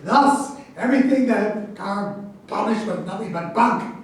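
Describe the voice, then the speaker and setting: A man acting a high-voiced scornful debunker, echoey. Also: unhurried. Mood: scornful, argumentative. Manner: dismissive, argumentative, sardonic. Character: scornful, argumentative debunker in a mock academic dispute. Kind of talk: staged play reading. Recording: very echoey room, far from mic.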